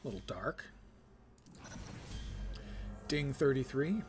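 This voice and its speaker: A man's voice: mid-range voice, very animated, slow, background noise. A middle-aged man giving casual live commentary on his game, thinking aloud as he plays. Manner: thinks aloud while playing.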